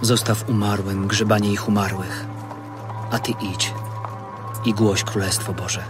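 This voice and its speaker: An actor playing Jesus as a calm, authoritative teacher, measured and solemn in a dramatized audio Bible. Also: male voice, on the low side, music in the background, lightly acted. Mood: calm, firm.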